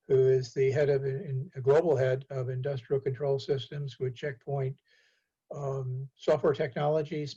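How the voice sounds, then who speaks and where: Male host for an online policy panel; flat, mellow tone, muffled call audio. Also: mid-range voice, breathy edge. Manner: many filler pauses, steady and cordial. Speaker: host and executive director. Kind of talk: event introduction. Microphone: video call.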